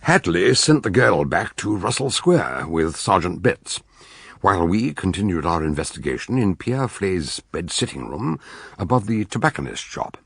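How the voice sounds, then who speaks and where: Gravelly, ponderous English detective doctor. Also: male voice, deep voice, very animated, full character voice. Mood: narrating. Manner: deliberate, hesitant questioning, theatrical. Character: shrewd, eccentric, ponderous old English amateur detective doctor. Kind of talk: radio drama acting and narration.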